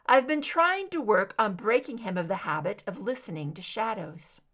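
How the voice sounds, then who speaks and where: A woman's voice: medium pitch, animated, normal speed, fairly bright tone. A woman voicing a tough captive female federal agent, blunt, sarcastic and challenging, in a thriller audiobook. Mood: cool sarcasm.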